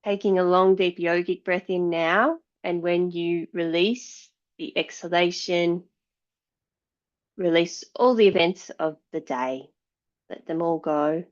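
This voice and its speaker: A woman yoga teacher guiding meditation and breathing in a measured, soothing way, leaving long pauses between phrases. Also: lowish voice. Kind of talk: guided yoga and meditation instruction. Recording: dull, slightly lo-fi sound.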